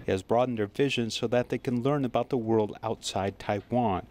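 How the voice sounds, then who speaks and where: A man reading translated interview lines in an even voice-over. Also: mid-range voice, normal speed.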